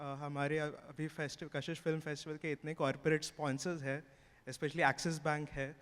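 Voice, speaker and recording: A man from the audience with an Indian accent, making an urgent, activist point to the panel before asking his question. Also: on the high side. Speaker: audience member. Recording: hall with long reverb.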